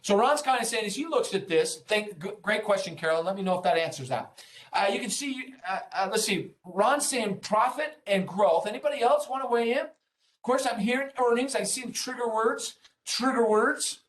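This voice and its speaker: A man's voice: high voice, normal speed, a bit bright. A man presenting an interactive business webinar, explaining things informally and encouragingly and checking in with his listeners as he goes. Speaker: webinar presenter, senior consultant and trainer. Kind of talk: interactive business lesson, explaining earnings call.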